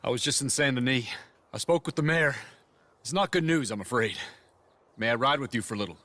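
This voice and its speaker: Guy playing eager polite army captain, talks fast n crisp sir-type formal, bright voice, game cutscene. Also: mid-range voice, dry recording, close to an everyday voice. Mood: earnest, hurried. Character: polite, earnest male army captain, deferential. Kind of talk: video game cutscene acting.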